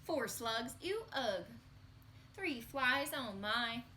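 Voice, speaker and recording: Bright, slow-paced woman reading to children. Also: on the high side, slight background noise. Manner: sing-song, expressive delivery for young children. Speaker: teacher reading a picture book aloud to children. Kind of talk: children's read-aloud storytelling.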